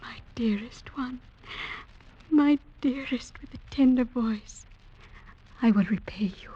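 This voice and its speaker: An actress playing a tender young woman bidding her beloved farewell in a soft, breathy, hushed radio drama style. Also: fairly high pitch, slow. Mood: tender, sorrowful. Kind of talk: 1940s radio drama acting. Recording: dull, muffled vintage radio recording.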